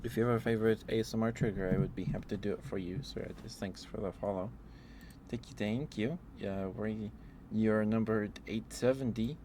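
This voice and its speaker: Low-pitched man rambling on an ASMR stream. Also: steady tone, background noise. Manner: rambling, halting, giggly. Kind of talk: rambling ASMR chat with personal anecdotes.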